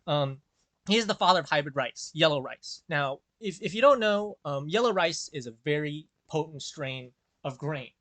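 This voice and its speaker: A man's voice: a little high, lively intonation, normal speed, a bit bright, no room sound. A young American man giving earnest, rambling commentary full of fillers and restarts. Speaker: video creator.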